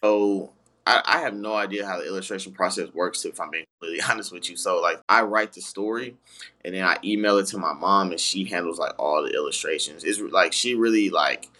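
A man who writes children's books, co-hosting a casual podcast and talking in a halting way with lots of fillers. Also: sits low, pushed and bright. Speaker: children's book author and co-host.

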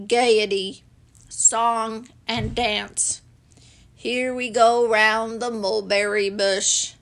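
A woman voicing a gloomy old gray male donkey who talks slowly and mournfully. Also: on the high side, level tone, a bit bright, full character voice. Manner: slow, mournful, sighing.

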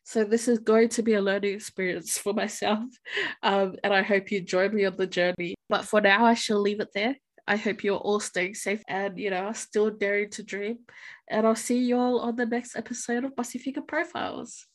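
A woman's voice: mid-range voice, steady tone, normal speed. A woman in her twenties talks through a solo podcast monologue with a stuffy, congested nose. Her delivery is chatty and a little self-conscious, and she laughs easily as she goes. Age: 20s. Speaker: podcast host.

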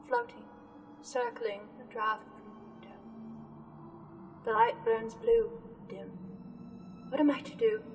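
A woman's voice: high-pitched, heavily acted. A woman as a frightened young victim. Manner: frightened, breathless, at times dreamy. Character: young woman, frightened, fading under a spell. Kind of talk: 1930s-style radio drama acting. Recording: reverberant, distant from the mic, with music.

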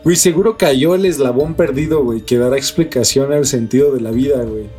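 A man's voice: mid-range voice, brisk, warm tone. A man with a Mexican accent co-hosts a podcast with music playing behind him. He moves between reading news items and chatting casually. His talk is full of street slang and swearing. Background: background music.

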